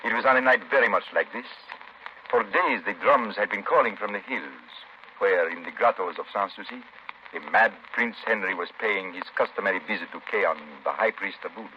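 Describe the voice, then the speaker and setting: An actor playing a courtly, grave French-speaking plantation host, talking formally in a 1930s radio drama. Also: male voice, lowish voice, a little fast, close to an everyday voice. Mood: grave, ominous. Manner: formal, polite, ominous storytelling. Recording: muffled, hissy 1930s radio recording.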